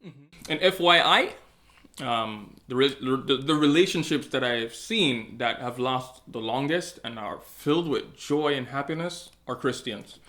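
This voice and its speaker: Man from Jamaica, co-host, mid-pitched, calm, dry wit. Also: a little slow. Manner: calm, low-key, dry humor. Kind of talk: casual advice chat.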